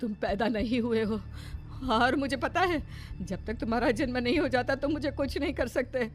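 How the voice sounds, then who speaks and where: A woman playing an anxious but brave heroine in an animated horror story, earnest and dramatic. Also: on the high side, normal speed, soft background music, lightly acted. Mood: resolute.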